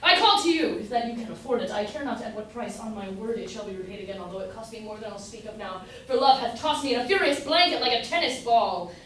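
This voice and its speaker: A woman playing a foolish, lovesick young gentleman in a staged reading of a period comedy, with declamatory, melodramatic delivery. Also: a little high, fast talker, few pauses, close to an everyday voice. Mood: lovelorn. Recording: echoey room from far off, faint noise.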